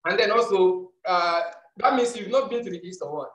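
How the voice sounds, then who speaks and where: A man's voice: high voice, moderate pace, fairly bright tone, roomy sound, low-quality recording. A Nigerian man hosting an interview in casual chat, with many hesitant fillers and restarts. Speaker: host.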